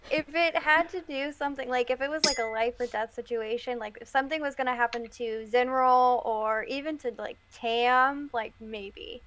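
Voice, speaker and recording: High-voiced laid-back woman player. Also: fairly even intonation, normal speed, hardly any pauses, background noise. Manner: laid-back, laughing, casual swearing. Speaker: tabletop game player. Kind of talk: casual chat about a game session.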